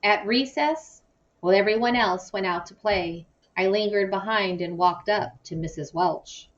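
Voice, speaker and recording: A woman reading a children's novel aloud in an expressive, storytelling way, acting out the dialogue. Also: medium pitch, unhurried. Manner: animated, acts out dialogue. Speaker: audiobook-style reader. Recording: distant, muffled microphone.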